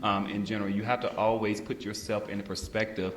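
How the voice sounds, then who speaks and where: A man with a Southern accent speaks on a panel, his voice fairly low. He talks in a measured way, filling pauses with "um" and "uh", and the room gives his voice a clear echo. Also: level tone. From Montgomery, Alabama. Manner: measured, many "um" and "uh" fillers. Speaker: panelist, works with students. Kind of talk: panel discussion. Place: large room with audience.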